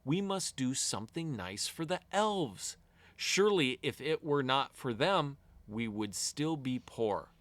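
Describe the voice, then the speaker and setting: Caring fairy-tale wife, played by a male reader: gentle, grateful, expressive storybook delivery, dry recording. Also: mid-range voice, unhurried, no room sound. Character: kind, grateful shoemaker's wife, a caring grown woman.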